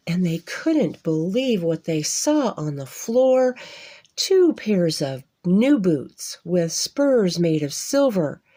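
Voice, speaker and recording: A woman reading a rhyming Western Christmas tale aloud in an expressive, measured storytelling manner. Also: medium pitch. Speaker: storybook reader.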